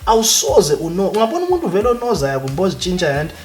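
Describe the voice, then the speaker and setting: A man with a Zimbabwean accent, a guest academic talking emphatically and with passion in a relaxed interview. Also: high voice, very fast. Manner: emphatic, passionate, mixes in Ndebele phrases. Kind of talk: conversational interview. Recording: background music. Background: music.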